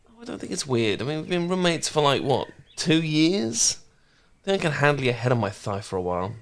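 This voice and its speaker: A man playing a gentle, caring housemate in an intimate ASMR romance roleplay, speaking softly and reassuringly. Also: fairly high pitch, very animated. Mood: gentle concern. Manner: soft, reassuring, unhurried conversational delivery. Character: gentle, caring male housemate, shyly fond and easygoing.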